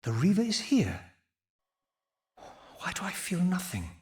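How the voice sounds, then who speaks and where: A man's voice: high voice, wildly swooping pitch, a little slow, breathy edge, dry recording, clean studio sound, exaggerated voice. A man voicing a tormented, proud wraith in a video game, narrating with grave, formal, theatrical eloquence. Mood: uneasy awe. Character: tormented male wraith, brooding, proud gothic antihero. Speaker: protagonist and narrator.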